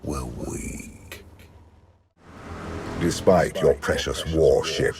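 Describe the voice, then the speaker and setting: An ancient, imperious game villain, a man with an extremely deep, gravelly voice. He speaks with slow, deliberate menace and lofty contempt, like a ruler lecturing a disappointing subject. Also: noisy background, heavily acted. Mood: disappointed, scornful. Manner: slow, deliberate, pompous, condescending. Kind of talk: theatrical video game villain acting.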